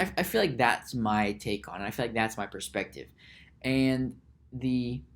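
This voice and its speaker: Guy doing a solo podcast, thinking out loud slow, lots of restarts and repeats. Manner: musing, slow and halting, with frequent restarts and repeated words; reads search results aloud in a low mutter. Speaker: podcast host.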